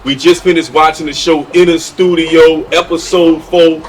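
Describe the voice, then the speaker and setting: A man's voice: on the high side, steady tone, normal speed, a little hiss or hum. A man opening a podcast with hype as its host.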